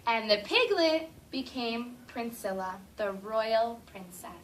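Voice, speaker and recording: A woman teacher reading a picture book aloud to a class of children in an expressive, drawn-out storytelling way, stopping to ask eager questions. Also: a little high. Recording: distant classroom camera with faint noise.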